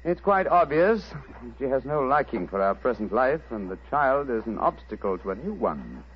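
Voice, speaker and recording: A calm, cryptic detective, played by a man, measured and matter-of-fact with a gently teasing edge. His speech rises and falls in wide, lively swings. It sounds like a muffled old radio broadcast. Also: medium pitch, a little hiss or hum, lightly acted. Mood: calm, wry. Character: calm, cryptic male detective, middle-aged. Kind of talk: 1940s radio acting.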